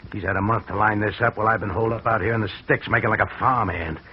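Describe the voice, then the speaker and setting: An actor playing a wary escaped convict in a 1940s radio drama, suspicious and clipped. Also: male voice, fairly low pitch, steady tone, rapid-fire, slight background noise, close to an everyday voice. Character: wary male escaped convict posing as farmhand. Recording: muffled old radio recording.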